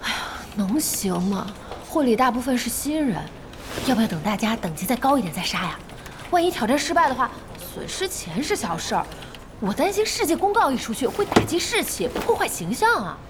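A woman's voice: fairly high pitch, very animated, brisk, noisy background. A woman playing a worried, sceptical guild officer in an audio drama, questioning and doubtful.

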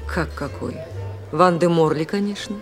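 An actress playing a wealthy, indignant widow in a radio play, haughty and politely cutting. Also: female voice, on the low side, moderate pace, soft background music, lightly acted. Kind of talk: Russian radio play acting.

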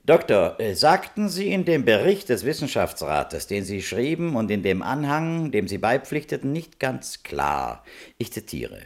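Relentless prosecutor, pitch swinging widely. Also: male voice, very animated, unhurried. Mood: probing, formal. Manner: insistent, pointed, precise questioning. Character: relentless, probing male prosecutor at a hearing. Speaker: interrogating attorney. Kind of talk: German radio play, courtroom-style hearing.

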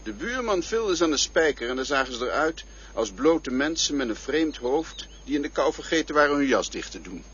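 An actor in a radio drama playing a mysterious male guide who questions the hero calmly, with quiet irony and a raspy voice. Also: a little high, a little fast, few pauses, exaggerated voice. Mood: calm, ironic. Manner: calm, questioning, quietly ironic. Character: mysterious probing male guide who shares the hero's name. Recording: dull lo-fi radio play recording.

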